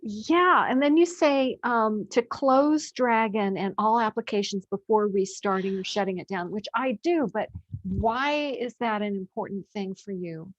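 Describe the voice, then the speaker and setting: A woman's voice: medium pitch, a little slow, no room sound. A woman hosting an interview, asking questions in a conversational style. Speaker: interviewer, host. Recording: dull, lo-fi audio.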